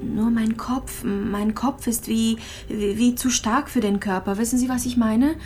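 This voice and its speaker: An actress playing a gravely ill young immigrant mother, frail and resigned, confiding in halting, repeated phrases in a radio drama. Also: a little high, steady tone, a little mellow, soft background music, natural voice. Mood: weary, searching. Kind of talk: intimate radio drama monologue and dialogue.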